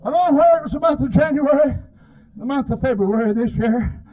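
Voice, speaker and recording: A male revival preacher with a hoarse, strained voice, delivering a fervent, pleading sermon that is impassioned and punctuated with amens. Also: extremely high pitch, fairly quick. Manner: impassioned, pleading, breaking into shouts and cries; punctuates phrases with repeated amens. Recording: muffled, phone-like lo-fi sermon recording.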